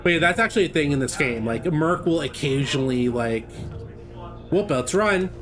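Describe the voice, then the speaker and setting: A man's voice: on the high side, animated, moderate pace, a bit bright, faint music behind. A man giving casual, chatty live commentary on a game speedrun, explaining as he goes. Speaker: gameplay commentator.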